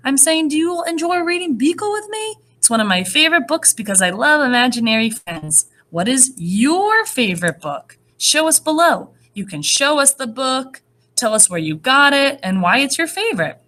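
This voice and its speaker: Animated woman teacher with a high voice. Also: moderate pace. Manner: animated, encouraging, child-directed. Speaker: first-grade teacher. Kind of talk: read-aloud and lesson addressed to young children.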